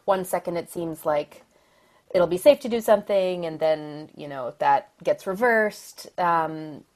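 A woman from the Philadelphia area with an American accent, a violin soloist talking thoughtfully and positively as a podcast guest, often pausing with ums and soft laughs. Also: lowish voice. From Philadelphia area, USA. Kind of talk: podcast interview.

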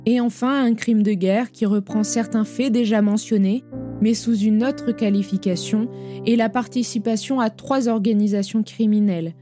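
Woman reading clear documentary narration. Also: on the high side, fairly even intonation, normal speed, mellow, soft background music.

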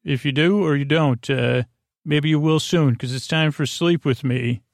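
An American man hosting a sleep podcast, with a creaky voice and a soothing, meandering, hesitant delivery full of repeats and tangents. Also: fairly high pitch, unhurried, dry recording, clean studio sound. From United States. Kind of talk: rambling, soothing bedtime podcast monologue.

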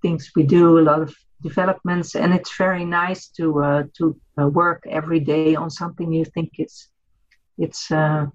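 A Dutch-accented woman in her fifties, a podcast guest, speaking haltingly with many fillers and restarts. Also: low voice, little pitch movement. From Netherlands. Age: 50s. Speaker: guest, cooperative chairwoman. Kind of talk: podcast interview. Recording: dull lo-fi audio.

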